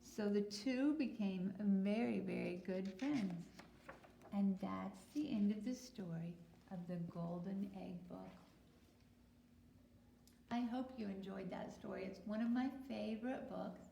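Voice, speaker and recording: A woman teacher reading and talking to young children in simple, deliberate phrases, putting questions to her listeners. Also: mid-range voice, vocal fry, dark tone. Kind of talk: picture-book read-aloud and talk to young children. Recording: roomy echo with noticeable hiss.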